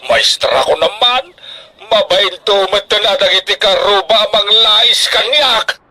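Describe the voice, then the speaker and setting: A man's voice: high-pitched, measured pace, bright, forceful tone, exaggerated voice. A man acting an emotional, doting older farmer father in an Ilocano radio drama, speaking emphatically with a Filipino Ilocano accent. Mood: proud, eager. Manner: emphatic, emotional, colloquial exclamations.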